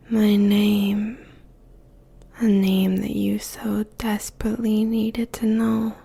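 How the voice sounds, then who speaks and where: A woman's voice: mid-range voice, vocal fry, warm tone, husky, full character voice. A woman playing a seductive, possessive succubus demon queen in an intimate ASMR roleplay, whispering breathily and savoring each phrase. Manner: slow, drawn-out, sultry, savoring phrases.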